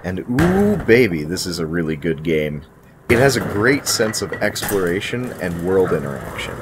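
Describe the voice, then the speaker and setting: A man's voice: low voice, sing-song, moderate pace, background noise. A young man presenting a scripted video game review in an articulate, presentational way. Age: young adult. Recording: music behind the voice. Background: background music.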